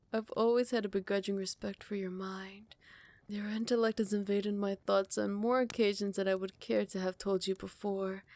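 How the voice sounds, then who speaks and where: A woman voicing a proud vampire royal smitten with a rival, in soft, formal, measured speech that often slips toward a whisper, for a romantic ASMR roleplay. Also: medium pitch, lightly acted. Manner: formal, archaic, measured courtly phrasing. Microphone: close microphone, dry.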